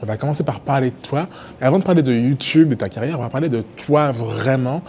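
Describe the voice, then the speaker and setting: A man hosting a casual interview, asking relaxed, friendly questions. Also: mid-range voice, fast, slight background noise. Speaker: interview host.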